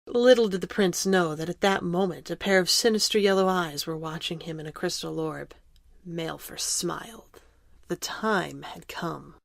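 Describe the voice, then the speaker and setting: A woman reading scripted narration for an audio drama in a measured, dramatic storytelling manner. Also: lowish voice, vocal fry, no room sound, studio quality.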